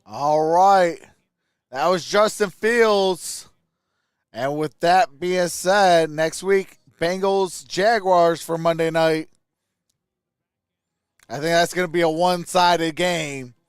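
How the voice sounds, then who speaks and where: A man hosting a sports livestream, giving casual post-game commentary to viewers in a halting, conversational way. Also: a little high, clean tone, no room sound, studio quality.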